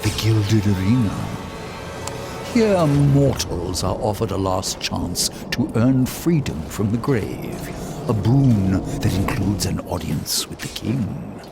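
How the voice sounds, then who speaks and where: An actor playing a haughty, scheming undead chancellor in a video game, speaking in a pompous, theatrical way over music. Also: male voice, on the low side, expressive, vocal fry, exaggerated voice. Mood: smug, grand. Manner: pompous, theatrical, drawn-out delivery. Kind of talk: dramatic video game voice acting. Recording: loud music under the voice.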